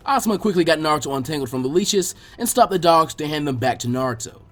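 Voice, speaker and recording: Animated man narrating a story. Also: a little high, fast talker. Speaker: narrator, fan fiction reader.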